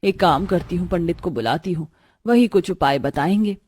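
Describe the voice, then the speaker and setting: A woman's voice: lowish voice, moderate pace, darkish tone, husky, dry recording, clean studio sound. A woman playing a worried, protective middle-aged mother in a moral story, earnest and fretful.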